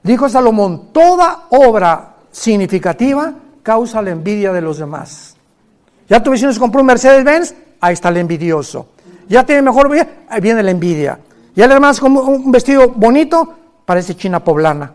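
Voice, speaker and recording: A man's voice: extremely high pitch, very animated, moderate pace. An older man, preaching a sermon with emphatic rhetorical questions and joking asides. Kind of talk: sermon-style conference talk.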